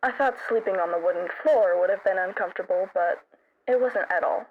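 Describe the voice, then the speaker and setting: A woman's voice: medium pitch, a little fast, phone-quality sound, slight background noise. A woman voicing a worker creature as a joke audition, with a radio voice effect and a playful, exaggerated delivery. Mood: jokey.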